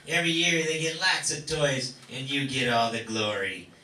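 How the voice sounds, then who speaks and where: A man's voice: mid-range voice, animated, moderate pace, bright, forceful tone. A man playing a greedy, resentful, scheming Easter Bunny gang boss in an amateur comic radio play. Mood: petulant, gloating. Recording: roomy, distant hall recording with noise.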